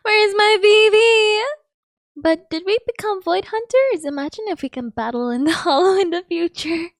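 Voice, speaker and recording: A woman streamer with a very high voice reacts emotionally to a game. She speaks in exclamations and casual profanity, recorded dry and close over game music. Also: normal speed, dry recording. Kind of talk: excitable live game reaction.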